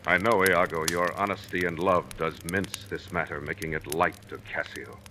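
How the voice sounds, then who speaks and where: A stern, proud general in vintage radio Shakespeare. His voice is low and forceful, with a commanding, declamatory manner. Faint noise runs under the old recording. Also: fairly even intonation, normal speed. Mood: grave, sorrowful. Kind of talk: 1940s radio acting of Shakespeare.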